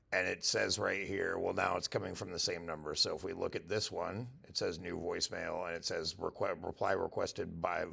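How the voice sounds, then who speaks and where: Very gravelly, low-voiced man. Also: pushed and bright. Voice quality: gravelly, husky. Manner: informal, thinking aloud. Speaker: software demo presenter. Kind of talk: casual screen-share product walkthrough.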